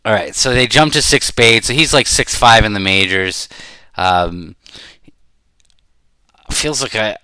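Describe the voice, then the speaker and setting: A middle-aged man giving casual live commentary as he plays a card game, rambling and halting as he thinks aloud. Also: on the low side, sharp tone, clean tone, no room sound. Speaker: bridge player commentating while playing.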